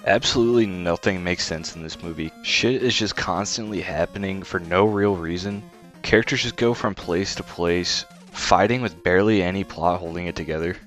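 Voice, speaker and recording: A man with a low voice narrates a scripted critique. His wording is blunt, disparaging and casual, and quiet music plays behind him. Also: moderate pace. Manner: blunt, disparaging, informal with swearing. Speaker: video essay host and film critic. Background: faint music.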